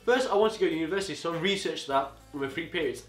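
An eighteen-year-old man giving rambling, casual advice to camera from a living-room sofa, his voice going a bit hoarse. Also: a little high, animated, rapid-fire, echoey room, faint music behind. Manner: rambling, chatty, peppered with "you know". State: voice getting hoarse. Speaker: student vlogger. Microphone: camera on a tripod across the room.

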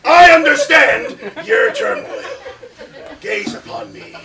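Bombastic shouting pro wrestler. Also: male voice, animated, gravelly, full character voice. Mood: pompous. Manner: booming pompous proclamations, dramatic pauses, growls and yells. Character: bombastic hulking male pro wrestler, grandiose, violent, childlike. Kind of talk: broad comic stage acting. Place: small theatre stage, indoors. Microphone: far camera in the audience. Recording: distant, echoey, noisy theatre recording with audience.

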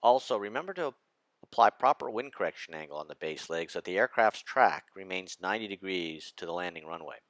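A man, a flight instructor, narrating a lesson on approach and landing in a measured, precise way. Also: low-pitched, expressive, fairly bright tone, no echo. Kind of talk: scripted narration over slides.

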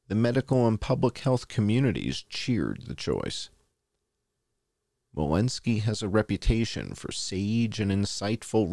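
A man with a very low voice reads an article aloud as a host. His voice has a gravelly creak and moves through lively rises and falls. The sound is dry and close. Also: no room sound. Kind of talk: read-aloud article narration.

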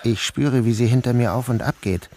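A man's voice: mid-range voice, a little fast, warm tone. A man narrating an audio drama in the first person, as its protagonist. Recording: noticeable background noise.

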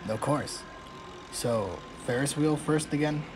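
A woman voicing a calm, dry teenage boy in a fan comic dub, talking in a flat, reassuring way. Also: low voice, slow pace, noisy background.

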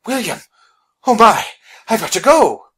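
Narrator voicing a flustered, blustering gentleman adventurer. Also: mid-range voice, very animated, a little slow, husky, pitched above the performer's own voice, full character voice. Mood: alarmed.

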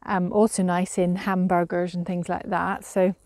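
A woman's voice: lowish voice, flat delivery, moderate pace, a little mellow, no echo, clean studio sound. Chatty woman touring her garden outdoors. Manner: chatty, hesitant, with frequent fillers. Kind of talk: casual walk-through garden tour. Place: outdoors in a home garden and greenhouse.

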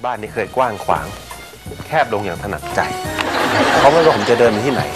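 An actor playing a sharp-tongued, sarcastic youngest brother in a sitcom. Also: male voice, medium pitch, expressive, fairly quick, over music, close to an everyday voice. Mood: sulky. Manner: teasing, sarcastic banter. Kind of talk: sitcom acting.

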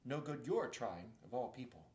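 A folksy, earnest male beaver from a storybook, talking loud and emphatic in a rustic way, as a man reading aloud puts him on. The voice rings a little in a roomy space. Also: medium pitch, very animated, normal speed, slight background noise, close to an everyday voice. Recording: roomy echo.

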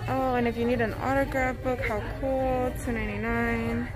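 A woman vlogging as she browses a large store, gushing excitedly over cute items and reading out prices. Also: fairly high pitch, moderate pace, poor audio. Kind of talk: casual shopping vlog. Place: large indoor retail store.

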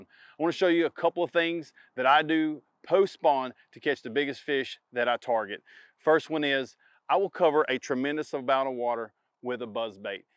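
A middle-aged man with a smooth, resonant voice at an ordinary pitch, speaking with confident emphasis and doubling up his key words. The sound is dry and close despite the outdoor setting, like a lapel mic. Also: dry recording. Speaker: pro bass angler. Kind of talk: instructional product demo to camera. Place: outdoors in a spring woodland.

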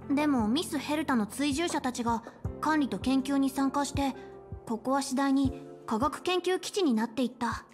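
A high-pitched young female space station chief, voiced by an actress for a video game. She is polite, self-assured and caring, explaining things in measured, articulate phrases. Faint music plays behind her. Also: close to an everyday voice. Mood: composed, explanatory. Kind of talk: Japanese game voice acting, explanatory dialogue. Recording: game dialogue over background music.

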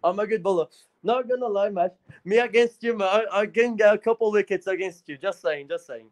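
Casting: Brazilian caller, Portuguese speaker, slightly high voice, playful storyteller on a video call. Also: male voice, brisk. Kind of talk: casual call-in chat.

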